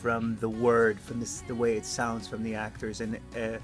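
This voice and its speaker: A man's voice: medium pitch, completely flat delivery, moderate pace. A man speaking earnestly as an indie film director, explaining his process. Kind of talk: interview and group talk. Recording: music under the voice. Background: music.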